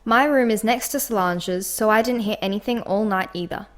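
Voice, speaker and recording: A woman's voice: mid-range voice, moderate pace, lightly acted. A woman playing a blunt, catty vegan activist giving testimony in a radio mystery play.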